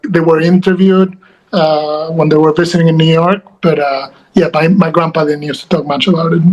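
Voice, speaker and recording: A man speaking as a guest author in a video-call interview, halting and full of fillers and restarts. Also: a little high, poor audio. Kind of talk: conversational interview.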